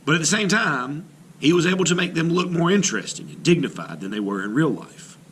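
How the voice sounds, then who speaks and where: A man's voice: fairly high pitch, fairly quick, a little hiss or hum. A man teaching art, reading a painter's biography aloud and then walking through a timeline with casual asides. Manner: lively, emphatic read-aloud delivery with asides.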